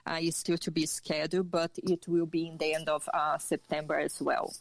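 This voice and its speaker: A middle-aged woman with a Brazilian Portuguese accent, a podcast interview guest who speaks in a measured, hesitant way with fillers. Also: low voice, level tone, creaky, poor audio. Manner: measured, hesitant with fillers, occasional light laugh.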